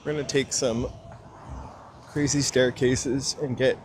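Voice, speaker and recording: Man, outdoor walking live stream, chatty and upbeat, mid pitch, faint street noise. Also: level tone, measured pace, a little mellow. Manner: chatty, upbeat, greets viewers by name. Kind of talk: casual live-stream chat to viewers. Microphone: handheld gimbal camera mic.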